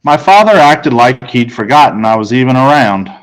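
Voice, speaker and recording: Guy teacher reading a story out loud, middle pitched voice. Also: lively intonation, normal speed, low-quality recording. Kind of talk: read-aloud storybook narration.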